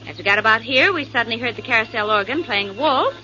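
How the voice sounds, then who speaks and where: A woman's voice: a little high, animated, fast, pushed and bright, a little hiss or hum. A woman playing a chatty, loyal young companion to a detective in 1940s radio drama. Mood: earnest. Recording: muffled 1940s radio broadcast.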